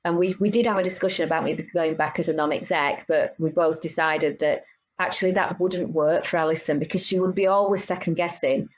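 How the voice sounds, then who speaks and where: A woman's voice: low voice, low-quality recording. A woman in her fifties, a business owner and podcast guest, talking frankly and animatedly in casual interview chat with many restarts. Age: 50s.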